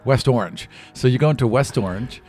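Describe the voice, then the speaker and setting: A man's voice: mid-range voice, fairly quick, darkish tone, soft background music. A man in his thirties narrating a tabletop game as game master in a casual, improvised way. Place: small indoor studio room. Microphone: close podcast microphone on stand.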